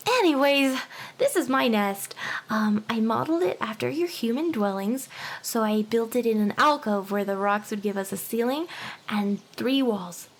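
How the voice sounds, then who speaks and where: Woman acting a breathy, high-voiced hyper harpy girl. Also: full character voice. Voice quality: breathy, often slipping into whispers. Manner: eager, flustered, halting with stammers and giggles. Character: hyper, nervous young female harpy courting a human. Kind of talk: anime ASMR roleplay acting.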